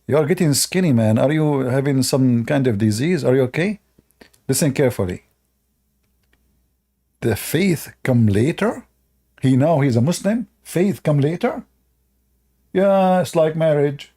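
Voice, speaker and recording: A man's voice: on the high side, expressive, a little slow, long pauses, warm tone. A man hosting a reaction video, sarcastic and laughing often as he mocks a clip, speaking in broken English. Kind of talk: mocking reaction commentary.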